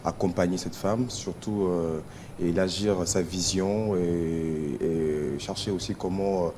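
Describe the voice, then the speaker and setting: A Congolese man speaks as a charity guest on a television show. His voice is deep and dark, and he moves haltingly, searching for words. A slight low noise sits under the studio recording. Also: fairly even intonation. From Kisangani, DR Congo. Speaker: charity association representative, TV guest. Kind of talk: spoken interview answers.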